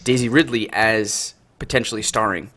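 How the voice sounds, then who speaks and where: A man's voice: lowish voice, steady tone, moderate pace, pushed and bright. A man co-hosting a podcast over an online call, chatting casually in an enthusiastic, slangy way. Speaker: podcast co-host. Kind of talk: casual group chat.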